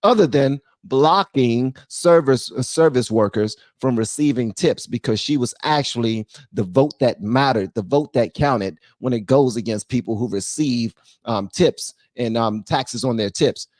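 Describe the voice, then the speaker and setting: A man's voice: mid-range voice, unhurried, darkish tone, no room sound. Male commentary host, emphatic, preachy, dry close sound. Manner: emphatic, preachy, rhetorical questions. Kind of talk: reaction commentary.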